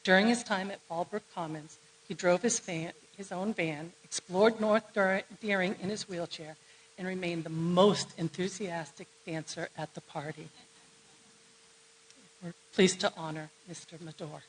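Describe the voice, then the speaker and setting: A woman with a fairly low voice reads a tribute in a steady, formal manner. She sits back from the microphone, so she sounds distant, and a faint hiss runs underneath. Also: a little slow. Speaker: event presenter. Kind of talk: formal reading of a biography.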